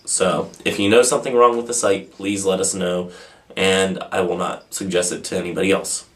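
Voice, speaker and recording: A man's voice: deep voice, fairly even intonation, moderate pace, a bit bright. A man hosting an informal video, answering questions off the cuff in a rambling, self-deprecating way. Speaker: host of a pagan question-and-answer video channel. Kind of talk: casual, unscripted answering of viewer questions.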